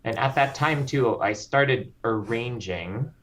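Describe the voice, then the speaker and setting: A man from the Philippines, a composer guest speaking slowly and reflectively, with frequent ums. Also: medium pitch, a bit bright. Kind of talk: interview conversation. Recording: slightly dull lo-fi audio.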